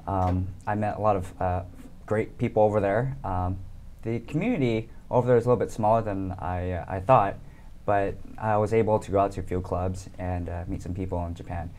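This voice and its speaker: A man in his thirties from the United States, talking casually as an interview guest, relaxed with many ums and easy laughs. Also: low voice, a little fast, slight background noise.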